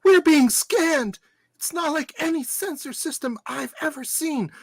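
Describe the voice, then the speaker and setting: A woman's voice: sits high, animated, unhurried, dry recording. A woman playing an alert starship officer in an amateur radio drama, giving technical, matter-of-fact reports. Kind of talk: amateur radio theatre reenactment.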